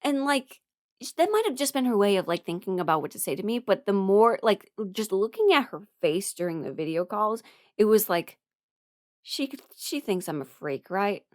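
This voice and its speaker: A woman shares a personal ramble in an informal, confiding way. She stops and rephrases as she goes, like advice given to friends. Her voice sits at an average pitch, and the sound is dry with no room around it. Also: sing-song, no room sound. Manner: informal, halting and self-correcting, chuckles while talking, mimics others in dialogue. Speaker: host, voice performer giving a personal ramble.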